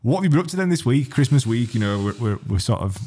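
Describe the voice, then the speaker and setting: A man's voice: mid-range voice, big pitch swings, quick pace, warm tone, slightly rough. A man co-hosting a podcast, asking cheeky questions and teasing his co-host in casual chat. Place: podcast studio.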